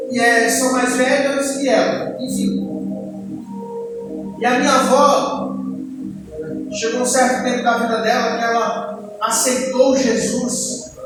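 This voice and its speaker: A man in his late twenties with a Brazilian accent, giving a personal testimony sermon as a church deacon in a conversational, storytelling way. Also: very high-pitched. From Ceará, northeastern Brazil. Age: late 20s. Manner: conversational storytelling, addressing the congregation. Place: church hall. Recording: echoey hall, distant mic, music behind.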